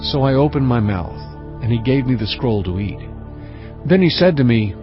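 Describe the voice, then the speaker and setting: An actor playing a solemn male prophet who recounts his visions in earnest, measured first-person scripture narration. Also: medium pitch, animated, muffled recording, over music, lightly acted.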